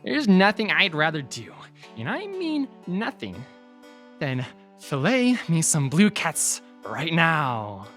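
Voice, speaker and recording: A woman's voice: low-pitched, wildly swooping pitch, takes it slowly, fairly bright tone, soft background music, pitched below the performer's own voice, heavily acted. A woman voicing an eager, cocky, sadistic catfish puppet in an audiobook, with a swaggering drawl. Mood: cocky menace. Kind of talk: audiobook character voice.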